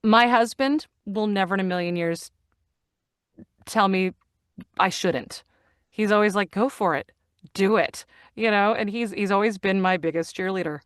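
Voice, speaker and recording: This is a middle-aged woman talking as a guest, winding through stories with plenty of fillers and restarts. Her voice is smooth and resonant. The recording is dry, with no room sound. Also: mid-range voice, moderate pace, long pauses, dry recording. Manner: chatty, animated storyteller with frequent fillers and laughs. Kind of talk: casual interview chat.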